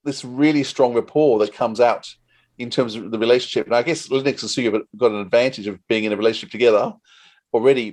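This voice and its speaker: A man's voice: mid-range voice, darkish tone. Man interviewing in a casual chat.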